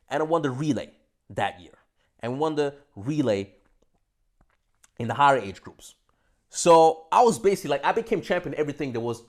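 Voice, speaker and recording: A young man from Austria talking straight to camera in a casual, animated monologue, swearing a lot and swinging between loud bursts and soft asides. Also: mid-range voice. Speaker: vlogger.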